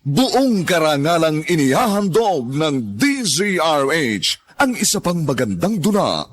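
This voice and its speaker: A man's voice: on the high side, big pitch swings, measured pace, no room sound. A man giving the dramatic opening narration for a radio drama, lofty and sweeping. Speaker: radio drama program announcer.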